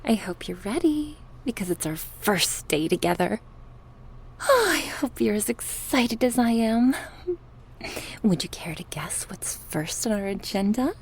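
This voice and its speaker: Sadistic goddess captor, played by a woman, horror ASMR: husky, playfully menacing, sarcastic, with wide swooping intonation. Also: medium pitch, expressive. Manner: taunting, sarcastic, slow and drawn out with sighs.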